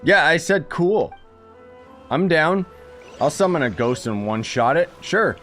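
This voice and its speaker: A man's voice: on the high side, animated, a little slow, fairly bright tone, soft background music. A man giving casual, sarcastic commentary while he plays a video game. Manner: sarcastic, offhand and joking. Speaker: gaming streamer.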